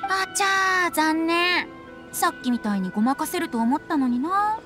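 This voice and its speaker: A woman's voice: very high voice, animated, moderate pace. A woman voicing a carefree, playful young male wanderer in an anime game, with a breezy, teasing, evasive way of talking. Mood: sheepish, playful. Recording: music behind the voice. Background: music.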